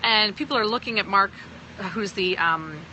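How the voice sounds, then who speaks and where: A woman's voice: mid-range voice, normal speed, pushed and bright, slight background noise, low-quality recording. Woman from pakistan w/ american accent, emphatic, keeps saying okay?, talking on a phone stream. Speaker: direct-sales team leader. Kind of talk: livestream talk answering viewers.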